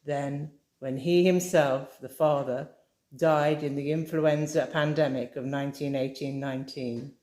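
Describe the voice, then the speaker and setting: Woman reading her crime book, steady dramatic telling, echoey room sound. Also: low voice. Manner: measured, dramatic storytelling. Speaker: author reading her novel. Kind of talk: fireside audiobook reading.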